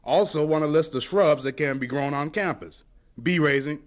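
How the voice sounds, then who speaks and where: An actor in an audio drama playing a busy, genial but demanding college principal with a clipped, bustling manner. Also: male voice, a little high, moderate pace, natural voice. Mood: brisk, distracted. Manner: clipped, bustling, self-interrupting, emphatic.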